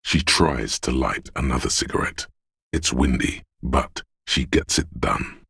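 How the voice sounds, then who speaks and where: An actor voicing a measured narrator of a detective video game, reading scripted narration. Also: male voice, rumbling low, a bit bright, slightly rough, no echo, studio quality, exaggerated voice.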